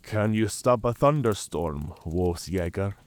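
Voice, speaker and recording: A man's voice: fairly low pitch, animated, unhurried. A man playing a cunning, proud werewolf in an audio drama, with a theatrical, drawling and mocking delivery. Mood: scornful delight. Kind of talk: cinematic audio drama acting.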